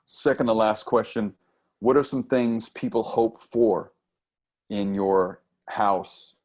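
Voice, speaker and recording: A man in his forties leading a youth writing workshop over a video call, talking in a relaxed way with lots of fillers. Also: on the low side, slow pace. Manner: relaxed and conversational, frequent fillers. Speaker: workshop leader. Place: home room with bookshelves. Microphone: earphone mic on a video call.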